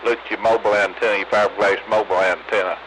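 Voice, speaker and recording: A man's voice: on the low side, flat delivery, racing, a bit bright. A man talking casually over CB radio. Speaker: CB radio operator. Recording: very noisy CB radio transmission.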